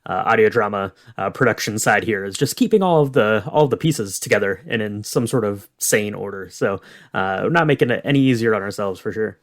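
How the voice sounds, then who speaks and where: A man hosting a podcast in casual chat, speaking haltingly as he thinks out what to say. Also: lowish voice, animated, dry recording, clean studio sound. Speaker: podcast host.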